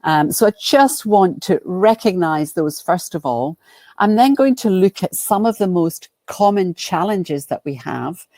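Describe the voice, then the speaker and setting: A low-pitched woman with a Glaswegian Scottish accent speaks on a webinar. She is friendly and chatty, dropping in ums and restarting sentences. The sound is dry and close, picked up by a computer mic on a video call. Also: expressive, unhurried, a little mellow, no room sound. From Scotland. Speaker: guest speaker and business coach. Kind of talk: webinar presentation.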